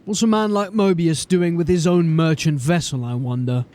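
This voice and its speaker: A man's voice: fairly high pitch, normal speed, warm tone, close to an everyday voice. A man playing a stern male city watch sergeant who questions people in a formal, suspicious way. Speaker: police sergeant.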